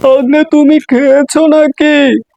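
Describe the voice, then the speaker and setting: Cartoon folktale tiger, naive and whiny, creaky and drawn-out female performer, dry dull sound. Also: sits high, fairly even intonation, darkish tone, dry recording, voice pushed up. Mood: puzzled. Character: foolish gullible tiger, a whining uncle. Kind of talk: children's animated folktale dialogue.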